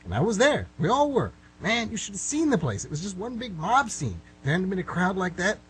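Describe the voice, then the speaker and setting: A man's voice: high voice, slight background noise, low-quality recording. A man acting a flustered, middle-aged guy who nervously rambles and corrects himself in an amateur radio drama. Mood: rambling, exasperated. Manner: nervous, halting, self-correcting, excitable. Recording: slightly rough amateur radio recording.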